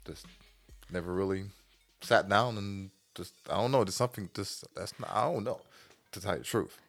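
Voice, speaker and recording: Deep, laid-back American man bantering. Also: moderate pace, vocal fry. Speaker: podcast co-host giving opinions. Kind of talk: casual slangy banter.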